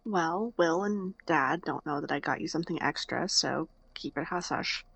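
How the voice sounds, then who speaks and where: A woman's voice: low voice, moderate pace, vocal fry, fairly bright tone, breathy edge, no echo, exaggerated voice. A woman acting in an audio drama as a sardonic young woman, blunt and teasing but fond underneath. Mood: playful teasing. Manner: dry, blunt, teasing sarcasm. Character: sardonic young woman, hurt but fondly teasing older-sister type.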